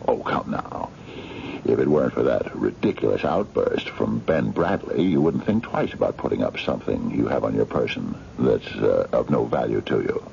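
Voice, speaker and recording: Mysterious courtly eccentric, radio drama, gravelly and formal, on a dull, noisy old recording. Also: male voice, rumbling low, expressive, moderate pace, a little mellow, full character voice. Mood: coaxing. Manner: formal, hesitant, insistent, courteous. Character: mysterious, eccentric chess-playing gentleman, coaxing and courtly.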